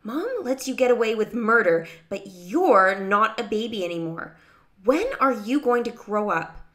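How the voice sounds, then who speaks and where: A woman's voice: on the high side, lively intonation, measured pace, a bit bright. A woman voicing an angry, scornful teenage girl in a children's audiobook, accusing and emphatic.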